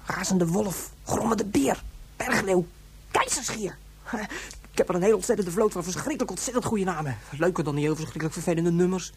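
A very breathy, husky voice a playful child in a radio play. The child muses aloud and gushes with exaggeration. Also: female voice, lowish voice, fairly quick, a little mellow. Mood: playful, musing. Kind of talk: radio drama acting.

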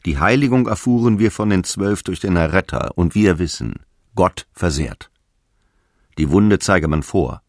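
An actor playing Paul the apostle, a stern zealot preaching in solemn, archaic radio drama language. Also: male voice, low voice, no echo, clean studio sound. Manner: grave, preaching, declamatory.

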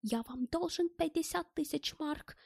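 Женщина озвучивает в аудиокниге пожилого робкого и эмоционального немца, который с немецким акцентом говорит на ломаном языке. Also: female voice, high-pitched, animated, creaky, no echo, studio quality, pitched above the performer's own voice. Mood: relieved, grateful. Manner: plaintive, emotional, halting foreign phrasing.

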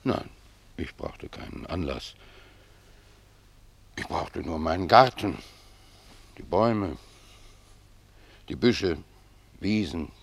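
A humble old gardener in a radio play. His voice is extremely low, with a gravelly creak. He talks in a pious, reflective way, choosing his words like a simple, deferential servant. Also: animated, exaggerated voice. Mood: pensive, devout. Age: older. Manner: reflective, deferential, unhurried phrasing. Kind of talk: German radio drama acting.

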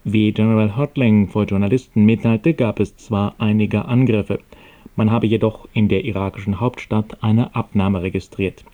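A man reading scripted radio news in a formal, measured and neutral manner. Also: on the low side, fairly even intonation, warm tone, dull audio.

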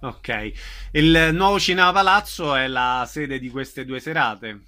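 A man's voice: mid-range voice, unhurried, sharp tone. A man interviewing guests on radio, asking casual, conversational questions.

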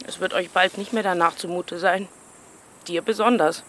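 A woman's voice: fairly low pitch, steady tone, normal speed, background noise, natural voice. A woman playing a stern, bitter middle-aged village aunt in a radio play, curt and scolding as if issuing warnings. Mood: grim warning. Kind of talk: German radio play acting.

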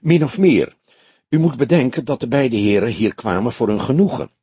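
A man voicing a composed, frank older woman in an audiobook, speaking softly in a measured, genteel, thoughtful way. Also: mid-range voice, expressive, husky, no echo. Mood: reflective, matter-of-fact. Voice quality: soft, friendly voice. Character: older woman club member, composed, soft-spoken, frank. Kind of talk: audiobook character dialogue.

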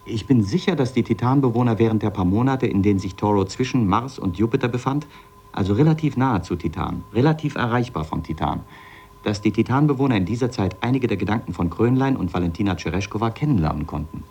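An eager scientist in a radio play, low-pitched and mellow. He lectures insistently, like a professor setting out bold theories, with some background noise in the 1970s recording. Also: animated, quick pace. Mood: earnest lecturing. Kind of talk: 1970s German radio drama acting.

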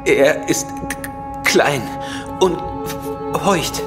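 An actor playing a haunted, stammering prisoner patient in a psycho-crime audio drama. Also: male voice, fairly high pitch. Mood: fearful, halting. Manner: stammering, halting. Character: frightened, halting male prisoner patient, haunted and confused. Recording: studio drama under loud music. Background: loud dramatic music.

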